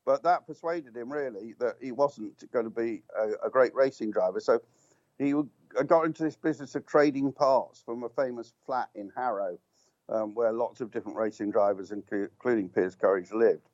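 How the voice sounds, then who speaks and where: A man's voice: mid-range voice, normal speed, darkish tone, no echo, lo-fi sound. An older man, a guest motorsport commentator, reminiscing at length in a rambling, anecdotal way with many restarts and ums. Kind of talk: reminiscing radio talk.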